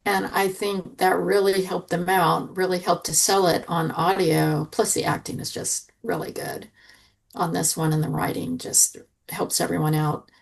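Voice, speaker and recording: A woman's voice: fairly low pitch, level tone, normal speed, creaky. A woman giving a casual review as a podcast panelist, listing her points in repeated phrases.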